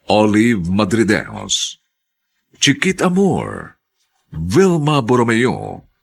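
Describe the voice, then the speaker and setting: A man announcing and narrating a radio drama from a script, theatrical and solemn with drawn-out emphasis. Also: lowish voice, vocal fry, fairly bright tone, breathy edge.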